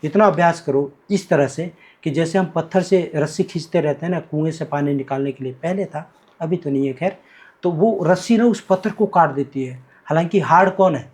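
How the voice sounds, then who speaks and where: A man's voice: on the high side, normal speed, a little mellow. A man from Bihar, a guest teacher in a podcast conversation, explaining verses emphatically and switching between Hindi and Marathi. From Bihar, India. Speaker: guest teacher, academy cofounder.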